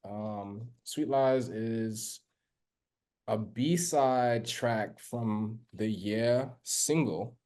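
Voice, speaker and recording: A man co-hosts a podcast in a laid-back, casual chat. He talks very slowly, drawing out his words, and his tone is dark and mellow. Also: lowish voice. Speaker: podcast co-host.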